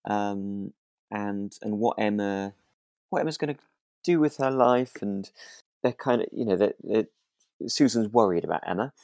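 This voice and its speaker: Low-voiced man chatting in a dry room. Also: normal speed, a little mellow, dry recording. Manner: hesitant, rambling, tentative phrasing. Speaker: podcast co-host. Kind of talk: casual discussion of a radio drama.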